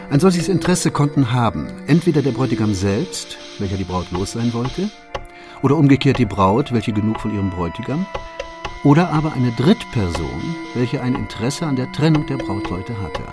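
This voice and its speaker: An actor playing a shrewd detective in a German radio play, laying out his case in a deliberate, formal and precise manner. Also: mid-range voice, close to an everyday voice. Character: shrewd, methodical male detective recounting his solved case.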